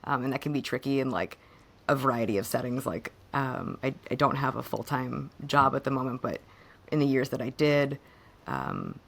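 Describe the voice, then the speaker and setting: A hoarse woman co-hosting a podcast, chatting casually and haltingly about personal matters, her voice fading a bit. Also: very deep voice, expressive, fairly bright tone. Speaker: podcast co-host.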